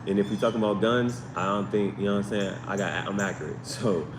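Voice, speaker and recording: A man hosting a casual live stream as a tarot reader, rambling with slangy asides and repeating himself as he goes. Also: quick pace. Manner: repetitive, tangential, slangy asides. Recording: roomy, distant mic, noisy background with vehicle rumble.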